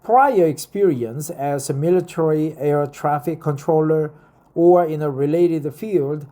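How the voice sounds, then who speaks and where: A man in his fifties from South Korea with a Korean accent, reading information aloud with casual personal asides. He is halting and deliberate and often repeats phrases. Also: a little high, warm tone. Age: 50s. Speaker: vlogger explaining a career path.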